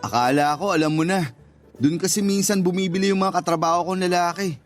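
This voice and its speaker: Radio drama: actor as tactless but earnest young man courting, high-pitched, careful, apologetic, light music behind. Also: a little fast, close to an everyday voice. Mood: apologetic, explaining. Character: earnest, tactless young male suitor, timid customer. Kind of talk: Tagalog radio drama acting.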